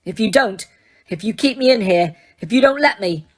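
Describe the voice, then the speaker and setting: A woman voicing a furious, grieving teenage boy wizard in an audiobook, loud and shaking. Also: mid-range voice, animated, moderate pace, slightly rough, pitched above the performer's own voice.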